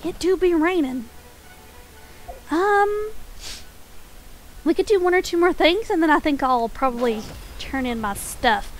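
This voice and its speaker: A woman streaming a game, chatting casually and softly, a little nasal, her voice pitched up, with small laughs between remarks. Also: expressive, a little slow, long pauses, soft background music, low-quality recording. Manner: soft-spoken, chatty, frequent small laughs; voice pitched up from her natural register.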